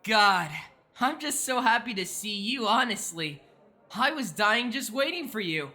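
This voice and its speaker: A performer playing an earnest, excitable and kind but anxious high-school boy in an audio drama, gushing in casual teen slang. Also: unhurried. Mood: excited.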